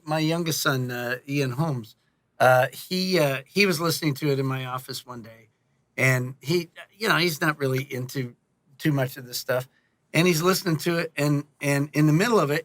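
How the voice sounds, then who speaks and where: A man's voice: fairly high pitch, pushed and bright, dry recording. A middle-aged man chatting casually as a podcast guest, telling anecdotes in a conversational way. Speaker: guest, audio series creator. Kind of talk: casual podcast interview chat.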